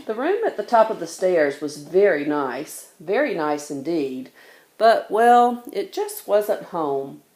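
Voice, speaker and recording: A woman narrating a children's storybook in an expressive read-aloud style. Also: on the low side, slow pace, echoey room. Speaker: bedtime story reader.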